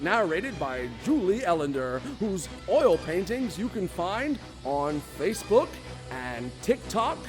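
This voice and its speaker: Theatrical high-pitched man hosting a show. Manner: grandiose, playful, formal introductions. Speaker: host of a live radio drama show.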